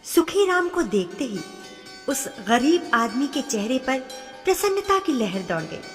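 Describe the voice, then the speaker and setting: A woman narrating a scripted moral story in an expressive storytelling manner. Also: high-pitched, a little slow. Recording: long hall reverb, faint music.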